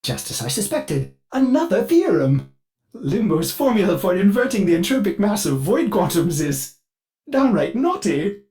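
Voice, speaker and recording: A man's voice: high voice, very animated, normal speed, darkish tone, faint music behind. A man voicing a fussy, eager ship artificial intelligence in a video game, polite and theatrical, with a manic edge under the courtesy. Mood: delighted, fascinated. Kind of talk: scripted video game character dialogue.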